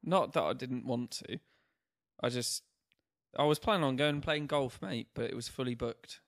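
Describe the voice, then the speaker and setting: A man's voice: mid-range voice, normal speed, no room sound, clean studio sound. A man with a nasal voice, stuffy with a cold, co-hosting a casual podcast chat and joking a lot. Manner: jokey, laughs often, says mate. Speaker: podcast co-host.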